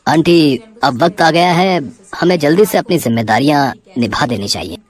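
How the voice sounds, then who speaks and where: Man acting animated young family man. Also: on the high side, moderate pace. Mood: earnest. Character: men of a family: earnest brother, reluctant younger one. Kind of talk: audio story drama acting.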